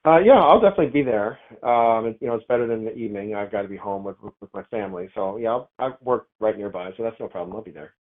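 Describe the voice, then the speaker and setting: A man's voice: on the low side, animated, fast, few pauses. A man, a property owner, chatting casually on a phone call in an easygoing, hesitant way, thinking aloud as he talks. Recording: narrow, tinny phone line.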